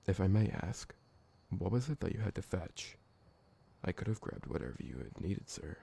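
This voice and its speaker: A man acting a polite, loyal vampire servant in an ASMR roleplay, speaking formally and deferentially with a gravelly, husky voice. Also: low voice, very animated, moderate pace, mellow, full character voice. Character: polite, loyal old vampire servant, fussy former bookkeeper, male.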